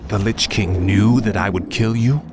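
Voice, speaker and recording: An actor playing a cold, arrogant young prince turned death knight in a video game, menacing and imperious. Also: male voice, fairly low pitch, normal speed, background noise, natural voice. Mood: questioning. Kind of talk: video game cutscene acting.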